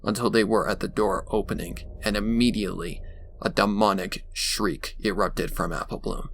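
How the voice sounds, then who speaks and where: A man's voice: fairly low pitch, steady tone, moderate pace, vocal fry, slight background noise. A man reading scripted fan fiction as a dramatic narrator. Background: background music.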